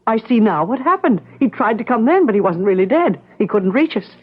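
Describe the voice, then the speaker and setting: Husky-voiced haunted wife, radio drama. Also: female voice, on the high side, very animated, fairly quick. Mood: haunted, insistent. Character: distraught wife haunted by her husband's vanishing. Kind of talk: old radio drama acting. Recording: dull lo-fi radio recording.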